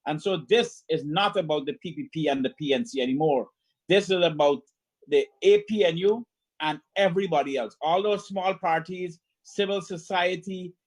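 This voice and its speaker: A Guyanese man talks as a guest on a political panel in a slightly high voice. He is earnest and emphatic, often repeating his phrases for weight.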